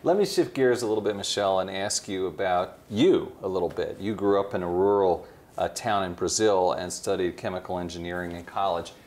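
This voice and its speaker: A man interviewing a company chief, asking quick probing follow-up questions. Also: deep voice, darkish tone. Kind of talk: business interview.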